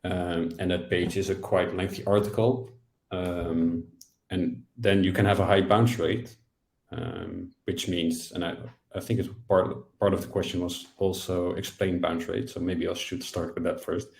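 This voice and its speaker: A man in his thirties explains things off the cuff in a webinar, with halting phrasing. His voice is low and stays flat in pitch. The small room gives the call an echoey sound. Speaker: analytics expert answering questions. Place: small plain room, indoors.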